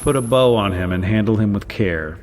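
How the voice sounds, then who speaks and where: A man's voice: on the low side, normal speed, long reverb tail, noisy background, close to an everyday voice. An actor playing a cool, sardonic male secret agent who is dry, unflappable and in control, in an audio spy comedy. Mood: smug.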